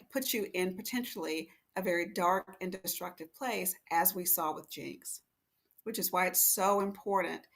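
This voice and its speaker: A woman speaking as an expert panelist, explaining reflectively and deliberately, phrase by phrase, like a counsellor giving guidance. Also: mid-range voice.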